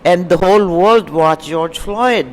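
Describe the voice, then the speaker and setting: A woman's voice: lowish voice, measured pace, long reverb tail. A woman playwright with a Pakistani accent answering interview questions spontaneously, searching for words and repeating herself. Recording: noisy room ambience.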